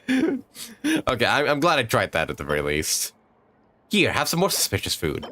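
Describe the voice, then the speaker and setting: Low-voiced girl streaming a game, giggly, swears a lot, voice jumps all over. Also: wildly swooping pitch, moderate pace, a bit bright. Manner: giggly, swears freely, hesitant fillers. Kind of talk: casual gameplay commentary.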